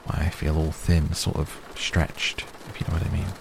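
Man acting old hobbit, storybook reading, low creaky voice, stubborn, impatient, testy, noisy background. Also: level tone, normal speed. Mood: weary, restless. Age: older. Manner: stammering, impatient, defensive.

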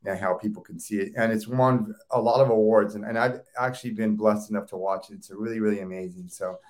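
A man's voice: fairly low pitch, steady tone, quick pace, darkish tone. A man hosting a casual interview, enthusiastic and often repeating or restarting words. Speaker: host.

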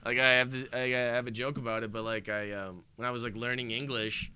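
A man's voice: mid-range voice, little pitch movement, brisk, pushed and bright, clean tone. A young man from Bosnia and Herzegovina, a comedian guest on a podcast, rambling casually with lots of swearing, restarts and laughter. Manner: rambling, profane, laughs often, many restarts.

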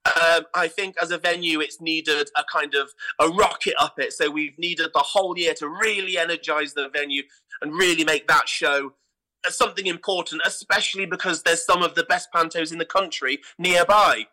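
A British man with a very bright, forceful voice that sits a little high for a man. He talks with enthusiasm, in the conversational way of an interview guest explaining his work. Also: fairly even intonation, moderate pace, poor audio. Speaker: guest, artistic director of a pantomime theatre company.

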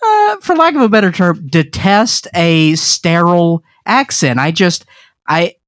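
A man from the American South with a Southern accent, chatting haltingly and self-deprecatingly as a podcast co-host. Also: sits high, very animated, fairly bright tone, dry recording, clean studio sound. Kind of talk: casual chat.

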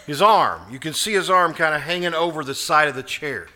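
A man's voice: a little high, fairly quick, bright, forceful tone, a little hiss or hum. A man playing an earnest, anxious, nosy neighbour, hesitant but insistent, in a live old-time radio suspense play. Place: live performance at a winery.

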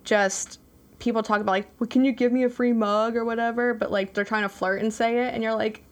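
A woman's voice: on the high side, steady tone, brisk. Young woman with a slight lisp. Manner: bubbly, many "like" and "oh my gosh" fillers. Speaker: podcast guest, ceramic artist. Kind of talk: casual chat.

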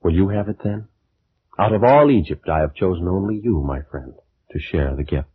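An actor playing a proud, fervent ancient Egyptian scientist in theatrical 1940s radio drama style. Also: male voice, low-pitched, animated, normal speed. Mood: solemn, persuasive. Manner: theatrical, declamatory. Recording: noisy tinny 1940s radio recording.

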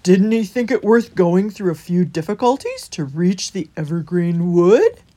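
A woman's voice: on the low side, sing-song, a little slow, warm tone. A woman voicing a kindly, reassuring male hedgehog gatekeeper in a children's story. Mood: kindly, concerned.

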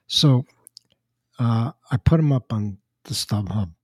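An older man with a slightly raspy voice, chatting casually as a podcast host and telling rambling anecdotes with dry asides. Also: medium pitch, expressive, slow, dry recording. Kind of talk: casual chat, storytelling.

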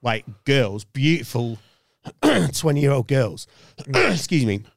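A man's voice: medium pitch, sing-song, slow pace, creaky, no echo, clean studio sound. A middle-aged man, a comedian guest on a podcast, telling a story in casual chat and chuckling between points.

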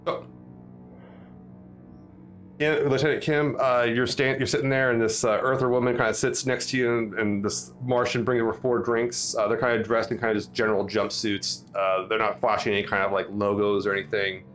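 Casting note: relaxed male game master, mid-pitched, faint music behind. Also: fairly quick. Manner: casual, hesitant, lots of fillers. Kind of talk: narrating a tabletop game.

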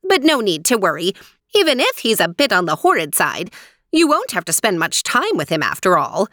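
A woman's voice: medium pitch, wildly swooping pitch, moderate pace, a bit bright, dry recording, clean studio sound. A woman voicing a fussy fairy aunt in a storybook, breezy and reassuring in an evasive, sing-song way. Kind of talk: storybook character voice.

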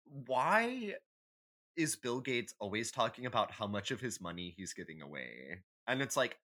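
A man's voice: medium pitch, sing-song, moderate pace, sharp tone. A man co-hosting a podcast, chatting casually and comedically in an emphatic, opinionated way with some swearing. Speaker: podcast host.

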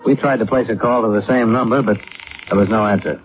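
An actor playing a brisk, methodical insurance investigator, terse and matter-of-fact, in the style of 1950s radio detective drama. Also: male voice, on the low side, faint music behind, close to an everyday voice. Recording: muffled, narrow-band vintage radio recording.

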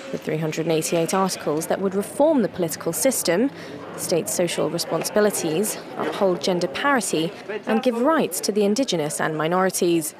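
Woman reporting over noisy crowd sounds. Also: on the low side. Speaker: news correspondent. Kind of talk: scripted news report voice-over.

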